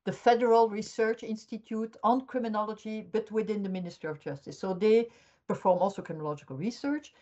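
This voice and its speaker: An older woman from Belgium, a criminology professor, explaining things in a measured way with frequent restarts in a podcast interview. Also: mid-range voice, fairly even intonation, dark tone. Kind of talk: academic interview answers. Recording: dull lo-fi audio.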